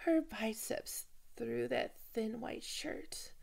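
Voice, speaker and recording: A woman playing a flustered, smitten office team leader in an ASMR roleplay, stammering and apologetic in a halting manner. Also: fairly high pitch, gravelly, darkish tone, slightly rough, heavily acted. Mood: mortified, confiding. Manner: halting, stammering, apologetic, often dropping to whispers. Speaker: voice actor in an audio roleplay. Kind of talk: ASMR roleplay acting, one-sided dialogue.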